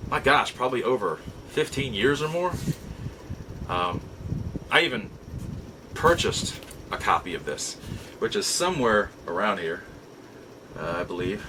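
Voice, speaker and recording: A middle-aged man hosting a show, telling nostalgic stories casually in a halting, reflective way with emphatic repeats. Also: fairly low pitch, very animated, background noise. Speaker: show host. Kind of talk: casual nostalgic storytelling to viewers.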